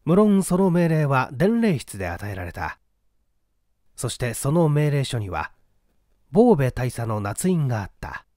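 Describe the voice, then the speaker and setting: Male audiobook narrator, dramatic literary mystery reading, expressive pitch movement, dry sound. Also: moderate pace, dry recording.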